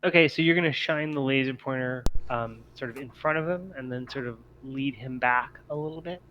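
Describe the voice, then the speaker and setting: A man's voice: medium pitch, fairly quick. A man with a mid-range voice works as game master at a tabletop session. He thinks aloud through his rulings and accommodates his players. The sound is slightly muffled, with faint noise behind it. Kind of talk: improvised tabletop game refereeing. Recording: muffled mic with noticeable background noise.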